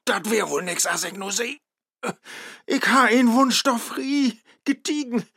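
An actor playing a clumsy, lovestruck male scholar who chases a woman, speaking with a Low German accent in a bumbling, eager, theatrical way, in a radio play. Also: unhurried, gravelly, bright, forceful tone, breathy edge, no room sound, studio quality, exaggerated voice. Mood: sheepish.

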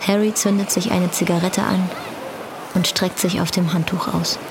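A woman reading scripted literary narration for a radio drama, with a creaky voice. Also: fairly low pitch, fairly even intonation, normal speed, background noise, close to an everyday voice. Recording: guitar music behind the voice.

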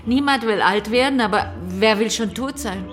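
A woman's voice: fairly high pitch, moderate pace, soft background music, lightly acted. An actress playing a sprightly, frank therapist in her sixties in naturalistic radio drama, talking in a wry, teasing, direct way. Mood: amused, probing. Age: 60s. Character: sprightly, frank 66-year-old female therapist.

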